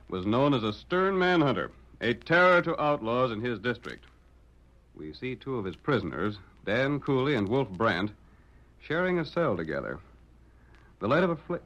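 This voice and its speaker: A man's voice: mid-range voice, fairly bright tone, muffled recording. A man with an American accent narrating an old radio western in a dramatic, declamatory, theatrical style. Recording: vintage radio broadcast recording.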